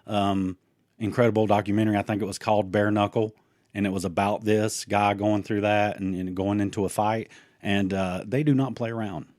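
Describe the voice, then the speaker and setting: Man, podcast co-host, low pitch, dry recording, playful casual chat. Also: level tone, a little fast, darkish tone, no room sound. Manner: joking asides, playful tangents.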